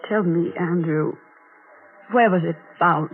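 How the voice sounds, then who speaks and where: An actress playing a frail, haunted old woman, halting and fearful, in a 1940s radio drama. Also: on the low side, soft background music. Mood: haunted, brooding. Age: older. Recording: noisy, narrow-band 1940s radio recording.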